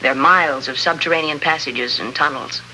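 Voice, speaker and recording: An actress playing a composed, businesslike female archaeologist and plotter in 1950s radio drama, speaking formally and with measured care. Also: low voice, bright, forceful tone, poor audio. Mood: guarded, composed. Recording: vintage 1950s radio recording, faint noise.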